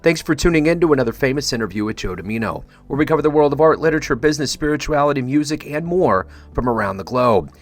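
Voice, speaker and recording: A man's voice: medium pitch, lively intonation, fast, few pauses. A man reading a scripted sign-off as the host of an interview show.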